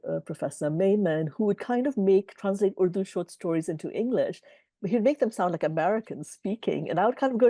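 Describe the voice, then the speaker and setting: A woman's voice: on the low side, dry recording. A middle-aged woman publisher answering interview questions over a video call, thoughtful and earnest. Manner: thoughtful, earnest, warmly reflective.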